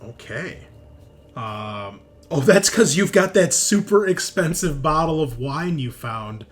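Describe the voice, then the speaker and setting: A man running a tabletop game, narrating off the cuff in a casual, halting conversational way. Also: fairly high pitch, lively intonation. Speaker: game master.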